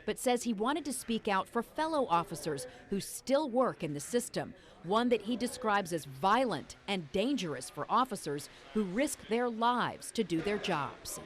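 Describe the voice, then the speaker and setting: A woman's voice: medium pitch, lively intonation, moderate pace, hardly any pauses, a crowd in the background. A woman reporting the news, reading a scripted broadcast narration.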